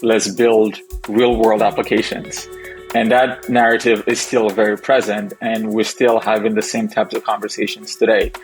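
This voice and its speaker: Young adult man from Ethiopia, guest on a podcast interview; lowish voice, thoughtful and measured, faint music underneath. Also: flat delivery, low-quality recording. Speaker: guest, founder and investor. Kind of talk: reflective interview answers.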